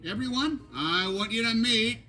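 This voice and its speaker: An actor playing a loyal, anxious male cowboy toy who leads the other toys, talking earnestly and quickly in animated film dialogue. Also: very high voice, full character voice. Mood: upbeat. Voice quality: earnest, fast-talking, emphatic.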